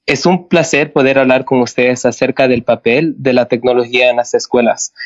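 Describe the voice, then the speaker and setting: Male teacher guest, English-dominant, mid pitch, careful halting delivery, dry and slightly muffled recording. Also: no room sound. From Canada, born in El Salvador. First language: English dominant, heritage Spanish speaker. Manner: careful, halting with slips and corrections. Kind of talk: prepared talk as interview guest. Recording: dull, dry lo-fi sound.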